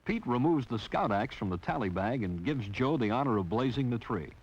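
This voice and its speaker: A man's voice: medium pitch, animated, brisk, slightly rough. A man narrating a 1960s training film in a wry, teasing scripted style. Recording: dull lo-fi 1960s film soundtrack.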